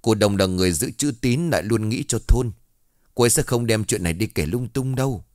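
A man voicing a calm older village mediator. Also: fairly low pitch, no echo, studio quality, close to an everyday voice. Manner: calm, measured, reassuring.

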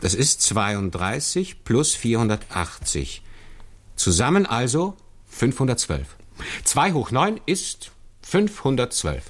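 An actor playing an eager, deferential self-taught programmer who explains methodically in a 1960s German radio play. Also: male voice, mid-range voice, sing-song, slow. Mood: careful, instructive. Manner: didactic, step-by-step.

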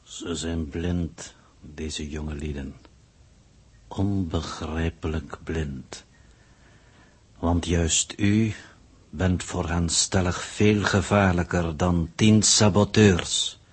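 An actor playing a cold, formal, analytical alien coordinator in a 1960s radio play, in measured, detached speech. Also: male voice, deep voice, steady tone, slightly rough, heavily acted. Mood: detached, musing. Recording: 1960s radio drama recording.

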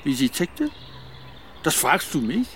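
Wry, wistful old Irish veteran. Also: male voice, fairly high pitch, sing-song, takes it slowly, fairly bright tone, slight background noise, full character voice. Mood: nostalgic, evasive. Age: older. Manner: wry, nostalgic, rambling. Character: wistful, evasive aging Northern Irish ex-undercover soldier. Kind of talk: German radio crime drama acting.

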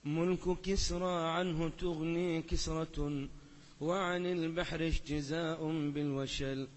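Student voice, a man, somewhat high, reads verse in a steady even way. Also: slow. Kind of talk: reciting poetry aloud in class.

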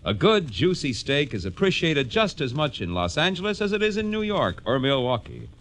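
A man's voice: sing-song, moderate pace, a bit bright, a little hiss or hum. A man reading a scripted vintage radio advertisement as a polished announcer selling a product. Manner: polished sales pitch. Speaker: beer commercial announcer.

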